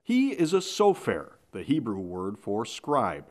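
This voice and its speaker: Low-voiced man reading a news report. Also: huge pitch swings, darkish tone. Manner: measured, formal reporter delivery. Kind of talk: scripted news narration.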